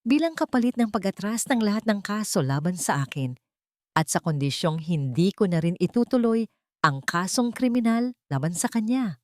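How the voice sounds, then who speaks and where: A woman voicing a wronged elderly grandmother in an audio drama, stern yet merciful, speaking in a deliberate, commanding way. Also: medium pitch, big pitch swings, dry recording, lightly acted. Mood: stern, solemn.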